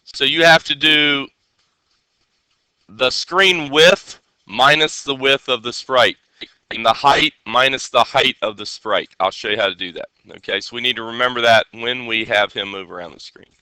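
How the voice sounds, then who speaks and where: A male teacher with a very bright, forceful voice, nasal from a head cold yet crisply clear. He explains coding to a class in an instructional way and keeps checking that students follow. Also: mid-range voice, moderate pace, no room sound, rough recording. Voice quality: nasal, congested. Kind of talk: live classroom coding lesson.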